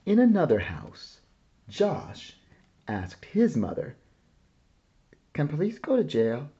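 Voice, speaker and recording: A man reading a children's picture book aloud in a slow, gentle way, lifting his voice for the children's lines. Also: fairly high pitch, wildly swooping pitch, slightly rough, dull audio.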